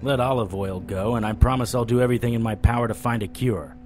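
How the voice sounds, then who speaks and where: A man's voice: mid-range voice, quick pace, close to an everyday voice. An actor playing a sardonic vampire-hunting private eye, telling his story in a dramatic noir audio drama style, over music. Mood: defiant, sardonic. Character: hard-boiled, wisecracking male vampire-hunting private eye. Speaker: narrator and lead actor. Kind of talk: first-person noir audio drama narration. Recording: music bed under the voice.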